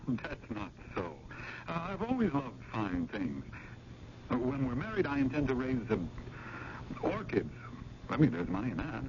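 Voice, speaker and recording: An actor playing an eager, evasive fiancé and younger brother in a 1970s radio drama, hesitant, rambling and ingratiating. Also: male voice, mid-range voice, huge pitch swings, measured pace, long pauses. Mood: breezy, insistent. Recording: muffled, noisy old radio broadcast.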